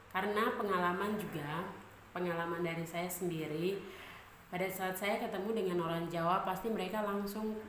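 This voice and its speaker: A woman with a Papuan Indonesian accent talks casually to her viewers in a fairly low voice. She keeps the chat loose, with fillers and repeated phrases. The room is echoey, and steady background noise comes through clearly. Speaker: vlogger. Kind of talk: casual vlog talk to camera.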